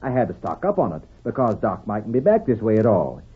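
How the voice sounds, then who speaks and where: An actor playing a gullible, henpecked old rancher with a folksy, protesting manner in a radio western. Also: male voice, lowish voice, huge pitch swings, a little fast, husky, exaggerated voice. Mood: defensive, hopeful. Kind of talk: 1940s radio western acting. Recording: old tinny narrow-band radio recording.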